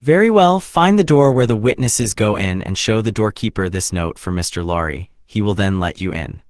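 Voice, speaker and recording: A man voicing an elderly, formal bank clerk who instructs and admonishes briskly, in an audiobook. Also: lowish voice, expressive, no echo, studio quality, natural voice. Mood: businesslike.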